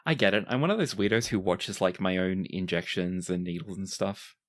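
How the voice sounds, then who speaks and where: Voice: sits low, fairly quick. A game master narrating a tabletop roleplaying game, telling the story in dramatic detail and dropping into casual asides.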